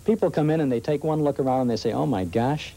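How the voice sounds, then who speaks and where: A man's voice: medium pitch, quick pace, creaky, mellow, poor audio. An American man, a shop owner, chatting casually in an interview inside his store. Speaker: shop owner and old-time radio host.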